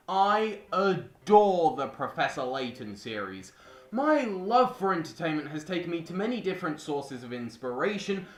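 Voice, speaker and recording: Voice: mid-range voice, a bit bright. A young adult reading a scripted video essay as a film reviewer, with music behind. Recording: roomy voice-over with music behind.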